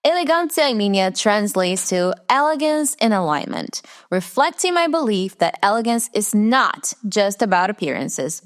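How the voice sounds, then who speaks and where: A Brazilian woman whose first language is Portuguese, speaking English with an Americanized accent as she hosts a solo podcast from her room in an earnest, deliberate, reflective way. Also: on the low side. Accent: Americanized English with Brazilian Portuguese roots. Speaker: podcast host. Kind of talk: scripted solo podcast monologue.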